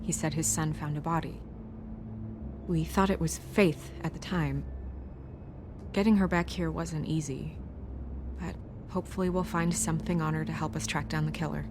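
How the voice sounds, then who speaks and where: A woman playing a stern, businesslike official in a video game, speaking in a crisp, earnest way. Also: deep voice, fairly even intonation, moderate pace, long pauses, background noise. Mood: weary, earnest.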